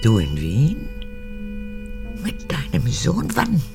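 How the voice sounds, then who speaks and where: Gravelly old mother in radio drama, swings from childish whining to cold, pitch all over. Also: huge pitch swings, takes it slowly, over music, heavily acted. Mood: confused, needy. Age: 80s. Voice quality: husky, gravelly, often breaking into whispers. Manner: capricious, swinging between childlike pleading and cold recounting. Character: old mother, unrepentant former camp guard, childish and manipulative.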